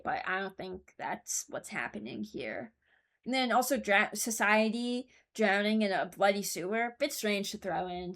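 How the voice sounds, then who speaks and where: A woman's voice: mid-range voice, a bit bright. A woman hosting a ranking video, giving casual, opinionated commentary as she muses aloud. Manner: informal, musing aloud. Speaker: video host ranking voicelines.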